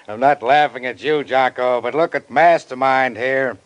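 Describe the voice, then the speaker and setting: A man's voice: mid-range voice, measured pace, lightly acted. A male actor as a sardonic police sergeant in a classic American radio mystery. His mid-range voice is dry and teasing, always needling. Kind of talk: 1940s-50s American radio detective drama acting.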